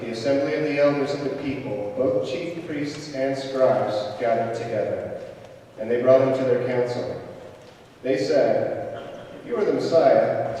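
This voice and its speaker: A man's voice: mid-range voice, mellow. A man reading scripture solemnly to a congregation in a church service. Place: large church during a service. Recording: echoey church, distant, faint noise.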